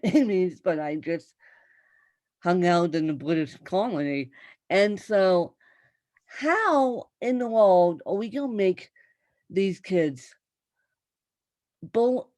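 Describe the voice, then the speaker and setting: A woman's voice: medium pitch, darkish tone, no room sound. A woman hosting an interview show, chatting with her guest and talking straight to viewers. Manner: chatty, addresses viewers as "you guys". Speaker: talk show host. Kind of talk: conversational interview.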